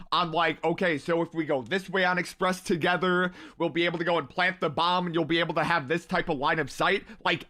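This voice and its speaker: A young man streams a watch party in a high, bright, ringing voice. He rambles with excitement, talks straight to his chat, and sounds strained as his voice starts to go. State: losing his voice.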